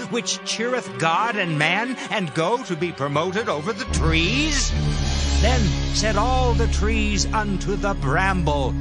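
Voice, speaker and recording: A man performs an impassioned, wronged speaker in a biblical audio drama. His high voice declaims solemnly, leaning on key phrases. Music plays clearly underneath. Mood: storytelling, solemn. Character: impassioned wronged young man proclaiming a parable and curse. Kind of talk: dramatized Bible reading. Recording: music behind the voice.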